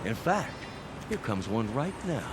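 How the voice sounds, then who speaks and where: A man's voice: medium pitch, very animated, measured pace, noisy background. A man playing a folksy, wily local politician in an audio drama, blustering and full of old-fashioned slang. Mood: animated, scheming. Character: folksy, wily local politician haggling over a used car.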